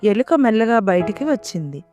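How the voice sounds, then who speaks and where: A woman's voice: mid-range voice, big pitch swings, normal speed, dark tone, lo-fi sound. A woman storyteller reading scripted narration for a children's story. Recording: music behind the voice.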